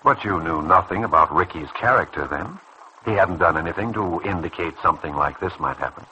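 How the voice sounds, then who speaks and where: A man acting in a vintage radio soap as a calm, earnest doctor who probes and persuades in a measured way. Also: deep voice. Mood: probing, earnest. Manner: measured, probing, earnest delivery. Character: calm, earnest, persuasive male doctor counselling a younger colleague. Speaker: radio serial actor. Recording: noisy old radio drama recording.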